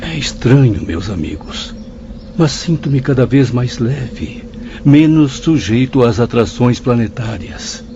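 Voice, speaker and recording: A thoughtful professor, a male spirit in a radio drama. His middle-pitched voice is formal and reflective, slightly dulled, with insect-like noise behind it. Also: expressive, takes it slowly. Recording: dull audio with insect-like ambience.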